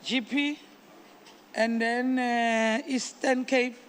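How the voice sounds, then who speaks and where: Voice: mid-range voice, steady tone, very slow. A South African speaker chairing a meeting, calling on people informally and mixing English with Nguni phrases. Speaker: congress chairperson.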